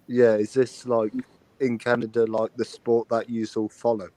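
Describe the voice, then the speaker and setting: A man's voice: medium pitch, level tone, normal speed, dark tone, no echo. A man chatting on a stream, hesitant, stammering and restarting his questions. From United Kingdom. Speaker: stream guest. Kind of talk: casual live-stream chat.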